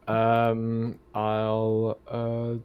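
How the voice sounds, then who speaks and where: Slow-talking, hesitant man playing games. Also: on the low side, monotone, clean tone, narrow, tinny audio. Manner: hesitant, tentative. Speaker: player in a tabletop game. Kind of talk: casual game-table chat.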